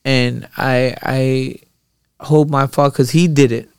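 Gravelly, smooth-voiced New podcast host. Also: male voice, mid-range voice, slow, no room sound, clean studio sound. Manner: animated, profane, rambling storytelling. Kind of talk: casual podcast chat.

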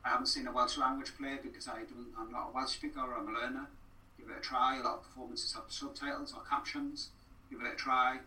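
A man's voice: medium pitch, animated, fairly quick, pushed and bright. A man speaking conversationally as a podcast guest, earnest and repeating phrases for emphasis. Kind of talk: conversational interview. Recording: roomy, distant mic, faint noise.

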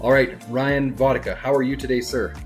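Hoarse chatty man hosting a podcast. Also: mid-range voice, fairly even intonation, moderate pace, faint music behind. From Canada. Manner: chatty, upbeat, rambling with restarts. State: hoarse, scratchy throat. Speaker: podcast host. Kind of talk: casual podcast talk.

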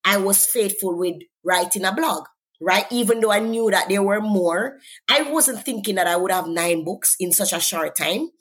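A woman's voice: medium pitch, normal speed, fairly bright tone, breathy edge. Earnest Jamaican woman sharing her faith. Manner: earnest, preacherly, asks "right?" often. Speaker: guest, author and faith coach. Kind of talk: conversational faith interview.

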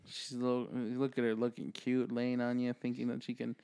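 Casting: male podcast co-host in his twenties, Midwestern accent, average pitch, loose joking chat with plenty of cursing. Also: completely flat delivery, brisk, vocal fry, warm tone, clean tone. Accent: Midwestern American. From American Midwest. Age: 20s. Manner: joking, swears freely. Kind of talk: casual comedic podcast chat.

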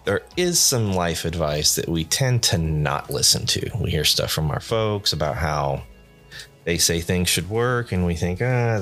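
A man hosting a solo podcast off-script, rambling casually with self-deprecating asides, sounding tired and a little nasally. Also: deep voice. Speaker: podcast host.

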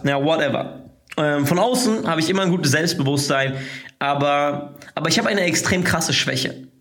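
A man's voice: a little high, a bit bright. Slangy young man chatting on his podcast. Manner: loose, slangy, many fillers and restarts. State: lingering cough after a cold. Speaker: podcast host. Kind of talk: casual solo podcast monologue.

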